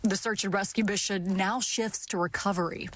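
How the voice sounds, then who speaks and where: A woman's voice: mid-range voice, fairly even intonation, normal speed, a bit bright. A woman with an American accent giving a live news report as a TV field reporter outdoors. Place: outdoors near an airport. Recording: clear outdoor ambient vehicle noise.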